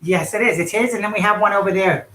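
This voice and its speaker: A woman hosts a casual live stream in a chatty, loose way, filling gaps with ums. Her mid-pitched voice rings around an echoey room, with faint ambient noise behind her. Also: fast talker, a bit bright. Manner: chatty, loose, lots of fillers. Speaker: livestream host. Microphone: in-ear microphone.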